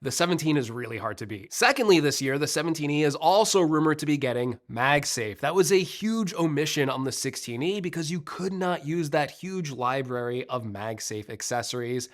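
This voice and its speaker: Male tech vlogger, congested and a bit rough, chatty upbeat delivery. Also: fairly high pitch, animated, normal speed, few pauses. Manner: chatty, upbeat, self-deprecating. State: congested, slightly rough voice from recovering illness. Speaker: tech channel host. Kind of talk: casual tech news vlog.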